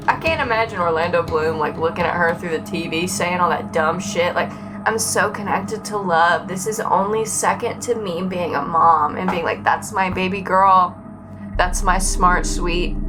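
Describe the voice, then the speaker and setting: A woman livestreaming a game, chatting casually and rambling in a sarcastic, sweary, griping way. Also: lowish voice. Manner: sarcastic, profane, animated griping. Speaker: live game streamer. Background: game music.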